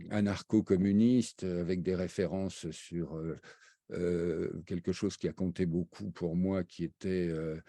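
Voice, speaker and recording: Older man, deep, halting recollection. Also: mellow, no echo, clean studio sound. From raised half in Brazil, half in France. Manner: halting, many fillers and restarts. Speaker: interview guest, economist. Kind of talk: hesitant spoken recollection.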